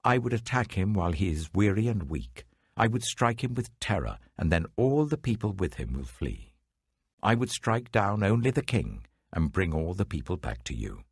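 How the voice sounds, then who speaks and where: Man playing a ruthless, deep-voiced adviser. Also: big pitch swings, normal speed, breathy edge, no echo, studio quality, full character voice. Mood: decisive. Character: ruthless royal adviser, cold and decisive strategist.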